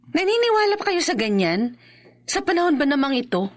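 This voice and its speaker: Feisty young wife, skeptical and sharp-tongued. High voice, lively swooping delivery, horror radio drama. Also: female voice, a little fast. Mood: irritated, scoffing.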